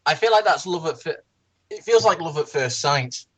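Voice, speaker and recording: A speaker of medium pitch giving halting, casual commentary among friends and often repeating phrases. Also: animated, slightly rough. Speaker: commentator in a group reading.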